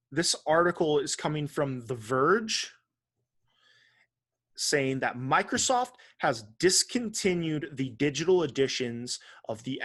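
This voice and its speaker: A man's voice: mid-range voice, a bit bright. A man co-hosting a video game podcast, chatting casually in a blunt, opinionated way. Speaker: podcast host.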